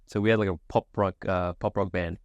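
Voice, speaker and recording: Deep, smooth-voiced young man from Sri Lanka. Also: fairly even intonation, a little fast, dry recording, lo-fi sound. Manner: easygoing, casual, many fillers and restarts. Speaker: guest, agency founder. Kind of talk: relaxed interview answers.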